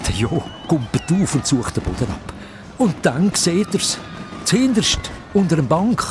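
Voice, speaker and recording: A man's voice: a little high, very animated, normal speed, background noise. A man with a Swiss German accent narrating a children's radio play as dramatised scripted storytelling.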